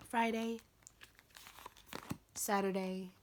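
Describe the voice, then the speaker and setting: A woman with a slightly raspy voice giving a casual tarot reading, chatty and repetitive, with lots of fillers. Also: a little high, noisy background. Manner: chatty, repeats herself with many fillers. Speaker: tarot reader.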